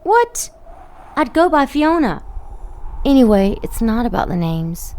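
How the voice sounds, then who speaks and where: A woman's voice: fairly high pitch, big pitch swings, measured pace, darkish tone. A woman playing an intense, dramatic female character in an audio drama. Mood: insistent. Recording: some background noise and ambience.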